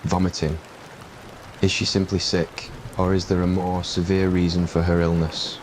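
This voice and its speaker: Casting: male performer as young king for fantasy roleplay audio; deep, narrow-ranged, soft and halting. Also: level tone, background noise, close to an everyday voice. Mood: questioning. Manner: hesitant, halting, soft-spoken. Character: young male king, astonished and softened, normally harsh. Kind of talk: fan-fiction audio roleplay.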